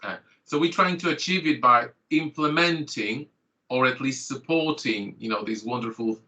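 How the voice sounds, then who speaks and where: Bright-voiced Polish-born man opening a training day. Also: medium pitch, moderate pace, muffled recording. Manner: chatty, filler-heavy, self-correcting. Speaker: GP and course trainer. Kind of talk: online training introduction.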